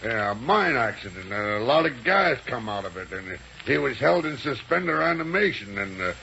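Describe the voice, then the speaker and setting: An American actor playing a dim, reluctant former air pirate who stammers through rough grammar in a 1930s radio serial. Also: male voice, medium pitch, very animated, normal speed, pushed and bright, full character voice. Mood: protesting. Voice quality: gravelly. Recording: muffled, noisy 1930s radio recording.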